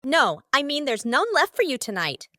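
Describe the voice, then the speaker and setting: Woman acting scornful wife, high voice, animated pitch, manga dub. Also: moderate pace, dry recording, studio quality, exaggerated voice. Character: scornful wife standing up to her husband.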